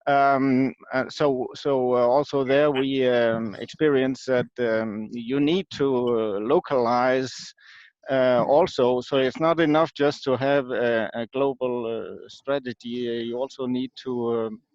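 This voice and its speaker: A man from Denmark with a Danish accent, explaining as an expert interview guest in a halting, hesitant way with frequent ums and pauses. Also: medium pitch, fairly even intonation, darkish tone, no echo. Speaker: guest expert, marketing professor. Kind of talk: unscripted explanation in an online interview. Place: video call. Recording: dull lo-fi online call audio.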